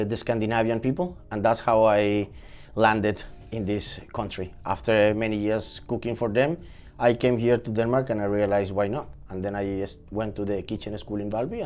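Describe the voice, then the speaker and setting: A man in his forties from Venezuela with a Venezuelan Spanish accent, a chef telling his story as a podcast guest in a lively, energetic way. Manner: animated, energetic storytelling with swings in pitch. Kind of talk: casual podcast interview.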